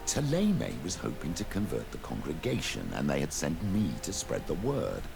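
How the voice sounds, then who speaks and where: A man's voice: extremely low pitch, huge pitch swings, vocal fry, background noise, full character voice. An actor playing a courtly older priest and diplomat in a video game, speaking in a formal, fatherly way. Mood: fond, reminiscing. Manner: formal, measured, paternal. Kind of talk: video game dialogue acting. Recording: game dialogue under music.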